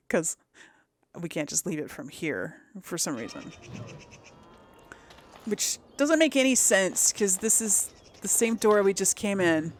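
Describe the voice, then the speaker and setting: A woman's voice: medium pitch, sing-song, slow pace, pauses often, a little hiss or hum. A woman giving casual video game commentary, thinking aloud as she chats to her audience. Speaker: host of a video game let's play.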